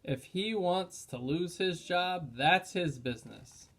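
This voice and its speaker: Man as young female park ranger, storybook: flippant, curt, hurried, pitched up a little. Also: lively intonation, a bit bright, heavily acted. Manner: breezy, curt.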